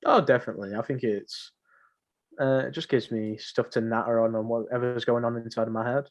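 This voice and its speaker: A man's voice: medium pitch, fairly even intonation, a little fast. A man with a mid-range voice chats casually as a podcast co-host. He speaks off the cuff, drifting along in slangy, jokey phrases. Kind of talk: unscripted casual podcast chat.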